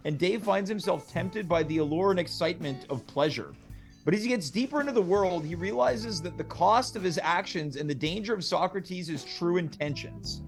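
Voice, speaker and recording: A man hosting a casual show, chatting and reading scripted text aloud. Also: sits high. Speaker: show host.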